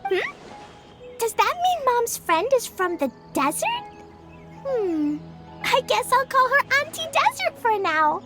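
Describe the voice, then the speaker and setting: A woman voicing an excitable, cheerful little girl in a video game, eager and childlike. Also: very high-pitched, exaggerated voice. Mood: excited. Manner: eager, childlike, quick to protest innocence. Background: game music.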